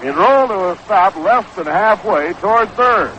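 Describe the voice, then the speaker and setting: An American man calling baseball play-by-play in 1960s radio style, with a measured, unhurried, factual delivery. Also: high voice, lively intonation, pushed and bright. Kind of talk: 1960s live radio sports commentary. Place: ballpark broadcast booth. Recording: dull, noisy 1960s AM radio broadcast.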